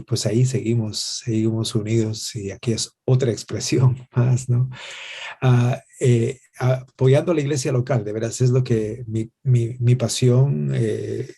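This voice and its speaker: Guy in his 60s, mellow kinda husky voice, gracious interview answers, pauses a lot. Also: mid-range voice. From Guatemala. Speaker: interview guest, pastor and worship musician.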